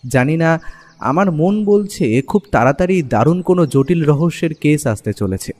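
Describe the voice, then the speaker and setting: A man narrating a scripted first-person story as an expressive storyteller. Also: on the high side, normal speed. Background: music.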